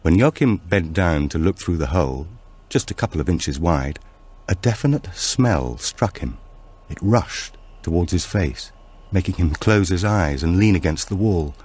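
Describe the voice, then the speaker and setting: A man narrating a suspense thriller audiobook in a measured, dramatic manner. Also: low voice, lively intonation, a little mellow, breathy edge.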